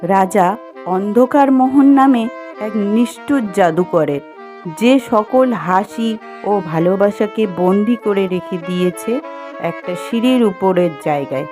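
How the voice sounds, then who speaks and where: A woman's voice: medium pitch, a little slow. A woman reading scripted fairy-tale narration as the storyteller of a cartoon. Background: music.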